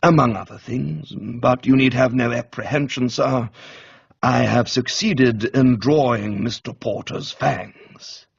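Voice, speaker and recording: A man voicing an unflappable, deferential English gentleman's valet, speaking formally in a measured, deadpan way in a comic radio reading. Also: mid-range voice, creaky, breathy edge, muffled recording, exaggerated voice. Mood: calm, assured.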